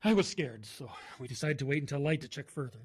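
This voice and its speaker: A man playing a haunted woodsman survivor in a horror audio drama, telling his tale in a halting, dramatic way with swelling emphasis. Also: medium pitch, animated, breathy edge. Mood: fearful, agitated. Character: haunted male woodsman survivor recounting a terror.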